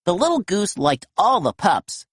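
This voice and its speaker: Husky-toned woman reading a picture book. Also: medium pitch, measured pace, dry recording, clean studio sound. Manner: gentle, expressive storytelling. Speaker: storytime reader. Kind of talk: children's book read-aloud.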